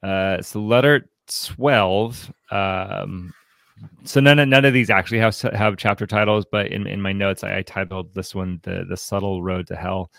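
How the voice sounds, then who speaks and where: A man co-hosting a conversational book commentary, speaking in a halting, reflective way that often repeats words. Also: low-pitched, fairly even intonation, creaky, a bit bright, dry recording. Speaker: podcast co-host leading a book commentary.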